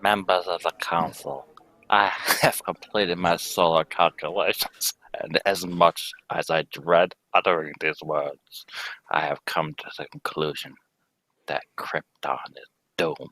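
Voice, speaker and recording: A man playing a solemn, portentous alien scientist in an old-time radio drama, speaking in a measured way. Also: low voice, fairly even intonation, sharp tone, exaggerated voice, poor audio. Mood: grave, foreboding. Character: grave alien scientist warning of doom.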